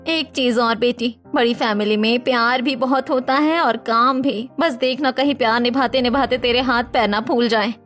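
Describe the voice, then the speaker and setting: A woman voicing a caring middle-aged mother who gently advises her daughter in a family drama. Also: on the high side, fairly even intonation, normal speed, hardly any pauses, faint music behind, natural voice. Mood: tender, advising. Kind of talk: scripted family drama storytelling.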